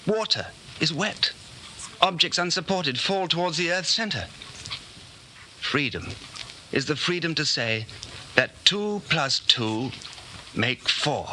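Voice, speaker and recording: An actor playing a brooding, guarded man in a dystopian radio drama, speaking tersely and haltingly with intensity in a 1940s style. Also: a little high, lively intonation, pushed and bright, heavily acted. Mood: fervent. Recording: noisy 1940s radio recording.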